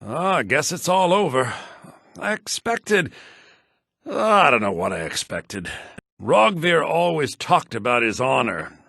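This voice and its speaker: An actor playing a sly, cynical townsman in a fantasy video game, with a husky, gravelly voice and a wry, conniving, expressive delivery. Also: on the high side, measured pace, no echo, studio quality, heavily acted. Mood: wry, grumbling. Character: sly, cynical adult male townsman and schemer. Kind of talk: scripted game dialogue.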